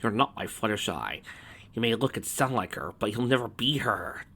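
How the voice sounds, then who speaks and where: A man's voice: on the low side, expressive, moderate pace, bright, forceful tone, slightly rough, exaggerated voice. A man voicing a furious, vengeful male chaos creature in a story reading, with emphatic, threatening delivery.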